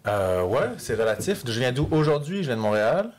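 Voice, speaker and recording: A man's voice: medium pitch, sing-song, fairly quick, a little mellow. A young man from France, a podcast guest chatting casually and thinking aloud with plenty of fillers. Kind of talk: casual chat.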